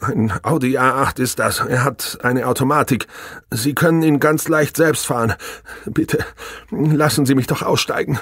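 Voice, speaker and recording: A man's voice: medium pitch, steady tone, normal speed, fairly bright tone, slightly rough, no room sound, studio quality, pitched above the performer's own voice. A man voicing an anxious male doctor who pleads as a captive in an audiobook. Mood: pleading, anxious.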